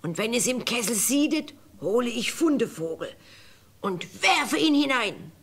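An actress playing a sinister, witchlike old cook in a fairy-tale radio play, speaking deliberately and conspiratorially. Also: on the high side, very animated, breathy edge, exaggerated voice. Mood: secretive, menacing.

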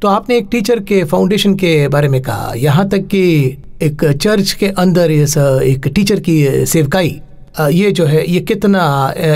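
A middle-aged man with a notably high voice hosts a studio talk show. He puts his questions haltingly and mixes English phrases into his speech. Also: darkish tone. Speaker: host.

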